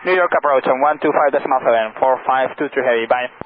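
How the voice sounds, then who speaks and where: A man's voice: mid-range voice, fast talker. A man, an airline pilot, reading back instructions over the radio. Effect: radio transmission. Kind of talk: radio readbacks. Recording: phone-like cockpit radio, faint noise.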